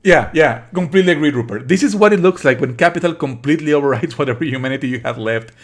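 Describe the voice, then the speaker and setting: A man's voice: on the high side, lively intonation, fast. A man doing live let's play commentary as a streamer, sardonic and indignant, swearing freely and at times reading game lines aloud.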